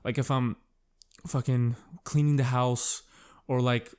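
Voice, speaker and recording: A young man at a medium pitch talks on his own in a loose, rambling podcast monologue. He is self-conscious and swears freely as his thoughts wander and double back. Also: little pitch movement, slow, a little mellow, clear, resonant tone. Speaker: podcast host. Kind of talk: casual solo podcast monologue.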